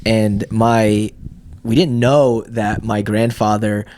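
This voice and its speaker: A man's voice: lowish voice, fairly even intonation, takes it slowly, darkish tone, slight background noise. A young man hosting a podcast, telling stories in a loose, profane way and laughing often. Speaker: podcast host. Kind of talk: casual podcast chat.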